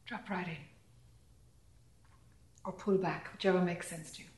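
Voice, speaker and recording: A woman leading a guided meditation calmly and gently, in short phrases with long pauses between them. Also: on the low side. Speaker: meditation teacher. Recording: echoey room.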